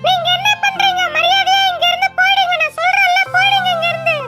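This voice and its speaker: A woman's voice: very high-pitched, flat delivery, fast talker. A woman voicing a sweet, earnest young female crow in a cartoon, polite and childlike in manner. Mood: scolding, urgent. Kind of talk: Tamil moral-story cartoon dub. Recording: loud background music.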